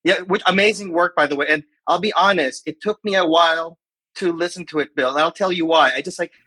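A man's voice: fairly high pitch, a little fast, sharp tone, slightly rough. A man in his forties from the Philippines, chatting casually as a podcast guest. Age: 40s. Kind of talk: casual chat.

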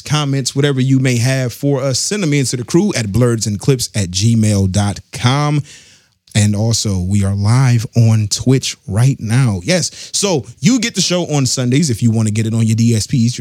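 Animated man hosting a podcast. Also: mid-range voice, no room sound, studio quality. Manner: animated, laughs often, slangy. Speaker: podcast host. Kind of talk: casual pop culture podcast chat. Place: studio or home studio, live on stream.

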